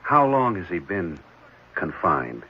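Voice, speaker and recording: A gently probing, concerned man in a vintage radio drama. His voice sits fairly low and moves expressively up and down. The old recording sounds muffled. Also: very animated, takes it slowly, a little hiss or hum, full character voice. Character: concerned, gently probing adult man, prospective foster father. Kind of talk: 1970s radio drama acting.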